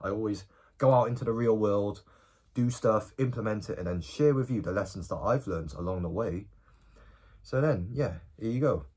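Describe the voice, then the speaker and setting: A man's voice: fairly low pitch, normal speed, husky. An eighteen-year-old man, talking casually and informally to camera in a self-improvement vlog. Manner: animated, informal, often tagging lines with "bro" and "okay?".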